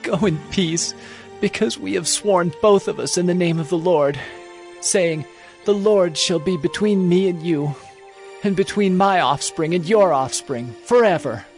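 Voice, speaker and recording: A man's voice: high-pitched, a little slow, a little mellow, faint music behind. A man playing an earnest, loyal young prince in a dramatized Bible story, speaking emphatically. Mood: solemn, tender.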